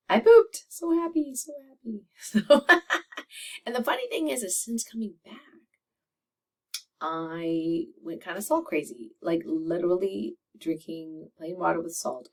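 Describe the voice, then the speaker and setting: Middle-aged woman, slightly raspy, chatty with frequent laughs, lower pitch, sounding a bit distant from the mic. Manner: chatty, laughs often, many fillers. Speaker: medical doctor and video host. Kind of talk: casual monologue to camera.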